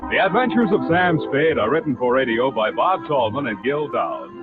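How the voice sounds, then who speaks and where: A man's voice: mid-range voice, expressive, normal speed. A man reading scripted credits as a 1940s radio announcer. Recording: narrow old radio broadcast, music behind.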